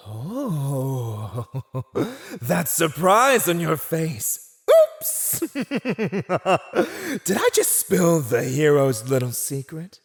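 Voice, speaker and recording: A man's voice: fairly high pitch, huge pitch swings, heavily acted. A man playing a silver-tongued villain warlord who taunts a captive in a theatrical, mocking, teasing way for an ASMR roleplay. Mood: gleeful mockery. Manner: teasing, mocking, theatrical, drawn-out delivery with chuckles.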